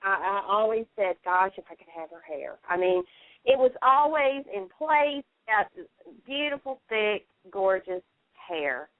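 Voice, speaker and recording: Female caller-style voice, emphatic fan chat, medium pitch, very narrow telephone sound. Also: very animated, a little slow, no room sound. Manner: gushing, emphatic. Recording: tinny telephone line.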